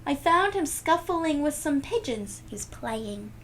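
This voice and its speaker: A woman's voice: sits high, big pitch swings, takes it slowly, a bit bright, slight background noise, voice pushed up, exaggerated voice. A woman voicing a friendly, casual, helpful bicycle rider in a storybook.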